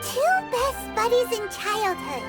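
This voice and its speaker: A woman's voice: very high-pitched, sing-song, takes it slowly, loud music behind the voice, exaggerated voice. A woman voicing a small, childlike female fairy companion in an anime game, perky and emphatic. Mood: perky, amazed. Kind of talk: English-dubbed anime game acting.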